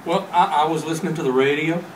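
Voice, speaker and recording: A man playing a rustic, folksy farmer, halting and rambling as he tells his story in a radio play. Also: on the high side, natural voice. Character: folksy, rambling male farmer being interviewed. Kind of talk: 1930s radio drama acting. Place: hotel conference room, panel table. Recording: slightly noisy live room recording.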